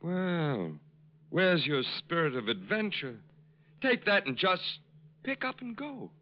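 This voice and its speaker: An actor playing a middle-aged con artist posing as a modest war-hero major, halting and wooing, in 1940s radio drama style. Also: male voice, high voice, very animated, exaggerated voice. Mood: coaxing. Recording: dull, noisy old radio recording.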